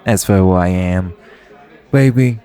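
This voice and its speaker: Man playing a cocky low-voiced vampire lord. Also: measured pace, mellow. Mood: smug. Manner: drawling, casual, sardonic, menacing. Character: cocky, possessive immortal vampire lord, sardonic husband. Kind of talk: audio roleplay acting.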